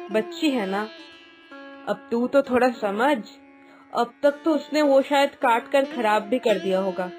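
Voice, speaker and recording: A woman plays an older lady of the house in a cartoon tale, bossy and dismissive toward her maid. Her voice is high, with a brusque, scolding delivery. Music is clearly heard underneath. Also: close to an everyday voice. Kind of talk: Hindi cartoon story dubbing.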